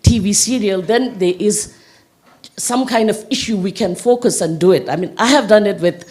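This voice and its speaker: A woman from the audience speaking up loudly and forthrightly. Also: mid-range voice, normal speed. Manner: loud, forthright, emphatic. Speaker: audience member commenting. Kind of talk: panel discussion comment.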